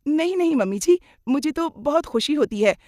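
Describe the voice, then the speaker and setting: A woman's voice: high-pitched, expressive, normal speed, dark tone, no room sound, clean studio sound, pitched above the performer's own voice. A woman voicing a cheerful, eager young daughter-in-law who gushes and insists, in a moral cartoon story. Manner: gushing, insistent.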